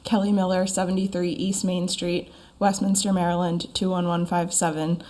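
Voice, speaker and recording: Hoarse, froggy-voiced woman attorney. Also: fairly low pitch, level tone, warm tone. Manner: measured and methodical, with frequent ums. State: hoarse from a lingering cold. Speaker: attorney for an applicant. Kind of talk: formal public meeting presentation.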